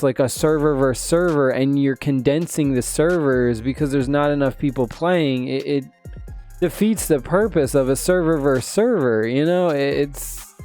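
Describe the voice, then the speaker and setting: A man's voice: medium pitch, darkish tone, clear, resonant tone. A man hosting a mobile gaming channel, still sick and losing his voice a little, rambling casually with lots of fillers. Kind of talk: casual commentary on a mobile game update.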